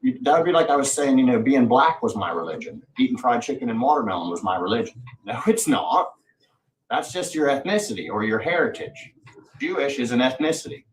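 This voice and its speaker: A man's voice: medium pitch, lively intonation, fairly quick. A man hosting a livestream, rambling casually in a boastful way with plenty of swearing. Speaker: livestream host. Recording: roomy, distant mic, faint noise.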